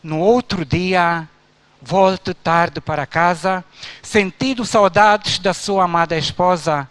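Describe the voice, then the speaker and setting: Slow, high-voiced pastor from Romania. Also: male voice, a bit bright, clear, resonant tone, no room sound. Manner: slow, emphatic recitation of long verb lists. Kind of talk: church speech, reciting a poem.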